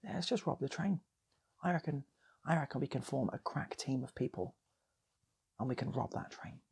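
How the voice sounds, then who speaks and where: A man voicing a brash, excitable gang schemer in a comic story, insistent and repeating himself. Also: medium pitch, normal speed, slightly rough, voice pushed up. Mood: eager, brash.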